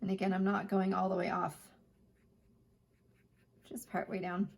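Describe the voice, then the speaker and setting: Woman, art teacher, hoarse, gravelly fry, chatty step-by-step instructions. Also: medium pitch, completely flat delivery, normal speed. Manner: chatty, plain instructional talk. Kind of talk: step-by-step painting lesson.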